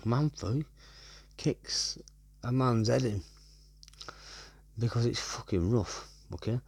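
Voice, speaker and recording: A man's voice: mid-range voice, slow, creaky, a little mellow, slightly rough, dry recording. A man in his thirties from North West England with a Wigan accent, hosting a podcast as a sweary casual monologue full of "right?" tags. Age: 30s. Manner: swears a lot and keeps tagging "right?". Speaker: podcast host.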